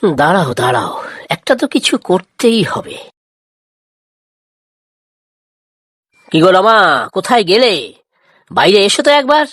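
A woman voicing a kind, patient village farmer father in a moral cartoon, gently coaxing and reassuring. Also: medium pitch, very animated, brisk, no echo, clean studio sound. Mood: eager, planning. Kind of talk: Bengali moral cartoon dialogue.

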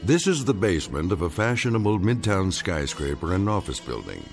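Narrator: deep male voice, ominous and measured, lively pitch, music faint behind. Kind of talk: scripted dramatic narration.

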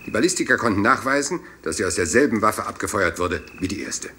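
An actor in a radio play playing a rumpled, courteous police inspector who apologizes and meanders doggedly. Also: male voice, lowish voice, expressive, fast talker, sharp tone, a little hiss or hum. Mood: methodical.

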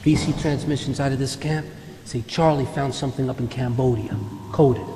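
A man's voice: mid-range voice, fairly even intonation, moderate pace, noisy background, natural voice. A male actor playing a businesslike American military squad leader in a game cutscene, terse and commanding with soldier slang. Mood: businesslike, urgent. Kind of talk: video game cutscene acting. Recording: echoey hall reverb under loud music.

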